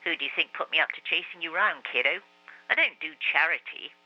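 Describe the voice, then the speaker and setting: Guy reading as a lazy wiseguy bat, lower and slangy, kinda muffled recording. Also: lively intonation, moderate pace, no echo, close to an everyday voice. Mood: peevish. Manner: slangy tough-guy drawl, calls everyone kiddo. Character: wisecracking streetwise bat, peevish and lazy. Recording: dull lo-fi radio recording.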